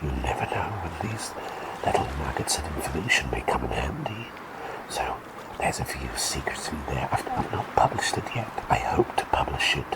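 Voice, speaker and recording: A man voicing a quirky male talking bird plague doctor, telling rambling bedtime stories in a soft ASMR whisper. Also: big pitch swings, normal speed, heavily acted, rough recording. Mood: gentle whisper. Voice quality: deep creaky whisper. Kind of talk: ASMR roleplay bedtime storytelling.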